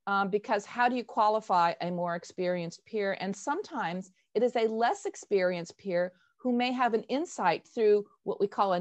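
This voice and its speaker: An older woman hosts an online discussion in an informal, animated manner. She speaks emphatically and pokes fun at herself, heard over a video-call headset at a middle pitch. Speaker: host, workshop facilitator. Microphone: wired earphone headset.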